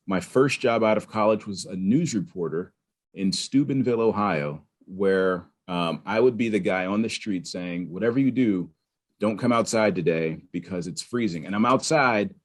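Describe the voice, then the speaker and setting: A man from Pittsburgh, a podcast guest, telling his story in an animated, conversational way with frequent restarts. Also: fairly low pitch, moderate pace. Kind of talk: conversational interview.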